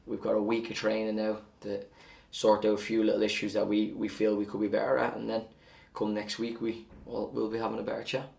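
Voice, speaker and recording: Low, even-toned football coach. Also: male voice, brisk, gravelly, hardly any pauses, recorded from a distance. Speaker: football coach interviewed after a match. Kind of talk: post-match interview.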